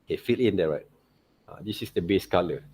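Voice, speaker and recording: A man's voice: low voice, a little fast, a little mellow, breathy edge. Malaysian-accented man teaching a class. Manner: repeats tag words like okay and right. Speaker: art teacher. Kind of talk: informal software tutorial to students.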